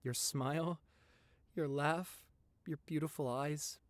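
Man acting as slow-talking, tender vampire boyfriend. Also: on the high side, steady tone, no room sound. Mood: loving. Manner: tender, halting, openly emotional. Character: loving, sentimental young male vampire boyfriend. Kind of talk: romantic audio roleplay acting. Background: background music.

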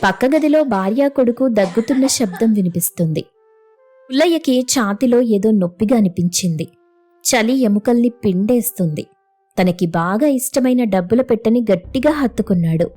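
A female storyteller narrates a scripted moral tale. Her voice is mellow and a touch high, with light music playing underneath. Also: animated, moderate pace.

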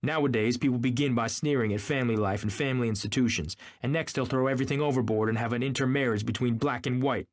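A man's voice: medium pitch, lively intonation, brisk, no echo, voice pushed up, close to an everyday voice. A man voicing an indignant, sneering, pompous husband in an audiobook. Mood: indignant, incredulous. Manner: pompous, incredulous, snapping. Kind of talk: audiobook character acting.

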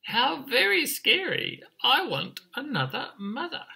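A man's voice: extremely high pitch, huge pitch swings, a little slow, creaky, pushed and bright, breathy edge, voice pushed up, exaggerated voice, rough recording. A man voicing a small, easily scared, indignant emu chick in a picture-book story, chirpy and exclamatory. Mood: frightened, indignant. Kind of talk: picture-book read-aloud.

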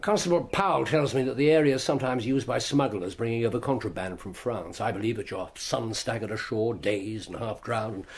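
An actor with an English accent playing a shrewd, confident middle-aged gentleman detective in a radio drama, urbane and commanding. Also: mid-range voice, brisk, hardly any pauses, slightly rough. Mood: grave. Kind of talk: BBC radio drama acting.

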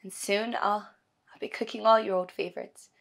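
A woman performing a stage monologue as a tender, wistful wife talking intimately and haltingly to her husband, often softly. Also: medium pitch, steady tone, natural voice. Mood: fond, nostalgic. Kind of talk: theatrical audition monologue.

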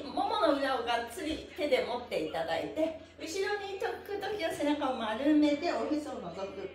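A middle-aged woman leading a live stretching class in a cheerful, encouraging, polite manner, recorded indoors from a few metres away. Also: sits high. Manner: cheerful, encouraging, laughing often; polite, playfully adds honorifics to body parts. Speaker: stretching instructor, livestream host. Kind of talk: live exercise class with counted chants. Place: small bare-walled room with wooden floor, indoors. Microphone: camera mic a few metres away. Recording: echoey room, distant microphone.

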